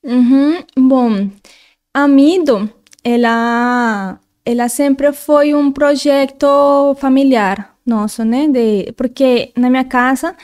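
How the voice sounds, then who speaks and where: High, smooth Colombian-accented woman. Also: takes it slowly, a little mellow. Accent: Colombian Spanish. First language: Spanish. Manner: earnest, restarts often. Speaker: guest. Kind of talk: casual interview chat.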